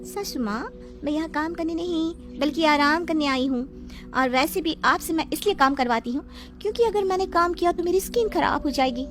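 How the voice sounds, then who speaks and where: A woman voicing a vain, spoiled young wife in a moral story, haughty, sharp-tongued and theatrical. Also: sits high, a little fast, soft background music, lightly acted. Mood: bossy, scornful.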